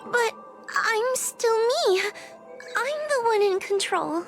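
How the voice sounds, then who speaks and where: Very high, timid young fox girl. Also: expressive, slow, full character voice. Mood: hurt, pleading. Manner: hesitant, plaintive. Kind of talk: video game voice acting. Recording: music under the voice.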